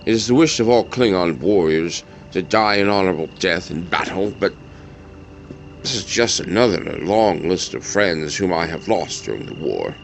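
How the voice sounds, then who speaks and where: An actor playing an old, honorable, grieving Klingon warrior in an audio drama, speaking in a solemn, weighty, halting way. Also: male voice, deep voice, lively intonation, a little hiss or hum. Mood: solemn grief.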